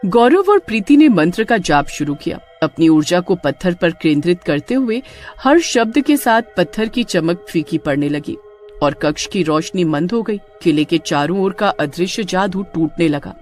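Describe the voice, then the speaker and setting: A woman's voice: lowish voice, expressive, moderate pace, darkish tone, soft background music. A woman reading a scripted story as narrator, in a dramatic storytelling manner.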